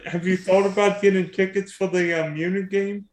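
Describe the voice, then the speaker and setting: A man's voice: high-pitched, little pitch movement, normal speed. A man hosting a casual sports podcast, teasing and quizzing his guest. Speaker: podcast host. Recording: roomy echo.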